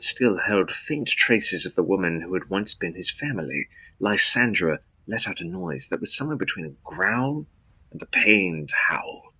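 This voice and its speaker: A man with a husky voice narrating a gothic drama in a solemn, deliberate, theatrical way. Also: sits low, sharp tone, low-quality recording.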